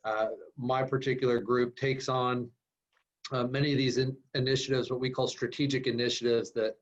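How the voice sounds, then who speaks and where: Flat-voiced male official on a call. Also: medium pitch. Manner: businesslike, fillers, brisk self-summary. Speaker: government official, guest speaker. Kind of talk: panel introduction. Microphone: video call. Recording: muffled video call audio.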